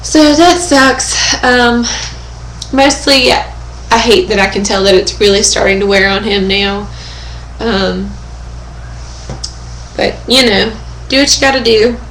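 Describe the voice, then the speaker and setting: A young woman speaks slowly and casually into her webcam at home, her voice hoarse and fading. She fills her talk with pauses and keeps clearing her throat. The room echoes around her, with a faint hum of ambience behind. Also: mid-range voice, fairly bright tone. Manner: chatty, many fillers and throat clearing. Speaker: vlogger. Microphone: webcam microphone.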